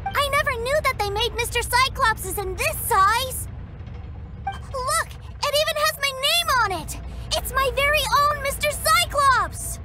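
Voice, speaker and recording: A woman voicing an eager, trusting young boy who adores his big brother and talks in an excited, childlike way. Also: very high voice, a little slow, loud music behind the voice. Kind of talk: video game cutscene voice acting. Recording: game cutscene with loud score.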